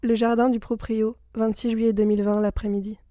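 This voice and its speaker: A woman's voice: a little high, level tone, a little fast, dark tone. A young woman reading a scripted, intimate literary journal as a narrator. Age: young adult. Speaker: student narrator.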